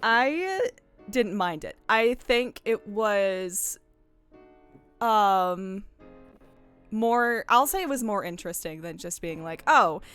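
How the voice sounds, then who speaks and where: A woman with a clean, smooth voice at an ordinary pitch chats casually about games. She rambles, doubles back and cuts into her own sentences, with faint music underneath. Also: expressive, slow, long pauses, a bit bright. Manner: rambling, digressive, full of self-interruptions. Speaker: host of a game discussion stream.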